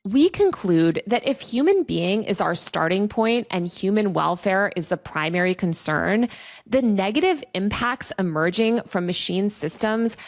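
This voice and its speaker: A woman with a mid-range voice presents video essay commentary. She is articulate and explanatory, moving between scripted narration and a more conversational register, as an online culture host would. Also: medium pitch. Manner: articulate, explanatory, reads out quotations.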